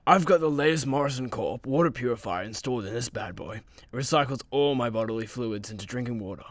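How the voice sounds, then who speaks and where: A male actor plays a crude, boastful superhero slacker. He is blunt and mocking in an average-pitched voice, recorded dry, in comedy radio play style. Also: quick pace, no echo, natural voice. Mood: boastful, casual.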